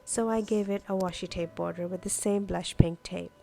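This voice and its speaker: A woman's voice: on the low side, fairly even intonation, normal speed, creaky, mellow, rough recording. A woman whose native language is Urdu, giving a casual, explanatory voice-over as a craft vlogger walking through her journal pages. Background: music.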